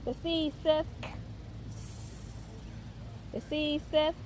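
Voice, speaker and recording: A woman's voice: high voice, level tone, drawn-out words. A young woman, a mother talking casually and teaching her toddler with sing-song prompts, recorded outdoors in a park. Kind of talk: casual vlog and simple lesson to a small child. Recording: outdoor recording with background noise. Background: outdoor ambient noise.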